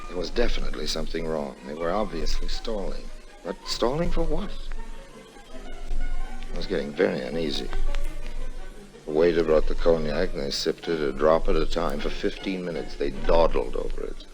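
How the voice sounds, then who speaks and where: An actor playing a smooth, roguish American con man in an old-time radio drama, with a suave, glib way of talking. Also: very deep voice, lively intonation, normal speed, faint music behind, full character voice, poor audio. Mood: wary narrating.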